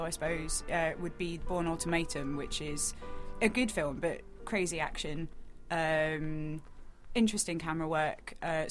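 A woman co-hosting a casual book chat, thinking aloud and searching for her words. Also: lowish voice. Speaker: podcast co-host.

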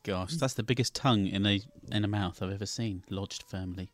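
A man's voice: lowish voice, a little fast, dry recording. A man co-hosting a podcast in casual chat, dropping in wry, joking remarks. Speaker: podcast co-host.

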